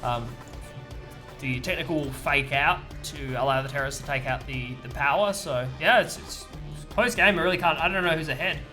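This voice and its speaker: A man co-casting a strategy game match, laid-back and filling pauses with agreement. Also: fairly high pitch, pushed and bright, soft background music. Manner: laid-back, lots of "yeah" fillers. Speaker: game co-caster. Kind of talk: casual esports commentary.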